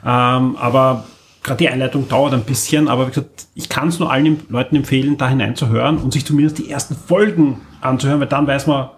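A man's voice: medium pitch, steady tone, quick pace, a little hiss or hum. A man hosting a podcast in a casual, conversational way, tagging his phrases as he goes. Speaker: podcast host.

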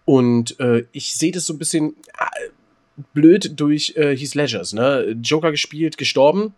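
A man's voice: fairly high pitch, measured pace, darkish tone. A man co-hosting a podcast in casual chat, hesitant and repeating words as he gives opinions. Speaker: podcast co-host.

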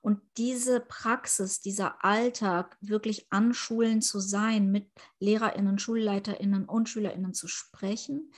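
A woman's voice: mid-range voice, steady tone, unhurried, few pauses. A woman in her thirties from Ukraine, answering interview questions in an earnest, thoughtful way. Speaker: interviewee, politician.

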